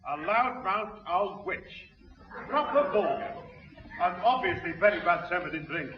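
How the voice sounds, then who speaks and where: An actor playing a grumbling, put-upon neighbour in a 1960s radio sitcom. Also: male voice, high voice, expressive, a little slow, roomy sound, distant mic, background noise. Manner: grumbling, aggrieved. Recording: dull 1960s radio recording.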